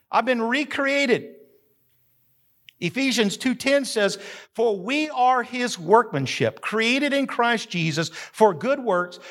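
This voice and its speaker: A man in his fifties preaching a sermon as pastor from a church platform, animated and breaking into shouts and laughs. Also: high voice. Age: 50s.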